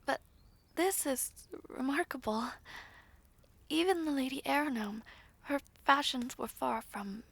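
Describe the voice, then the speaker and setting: A woman's voice: sits high, lively intonation, measured pace, vocal fry, fairly bright tone, exaggerated voice. A woman playing a shy, humble young maid of noble birth in a fantasy audio roleplay, hesitant, polite and old-fashioned in speech. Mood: modest, wistful.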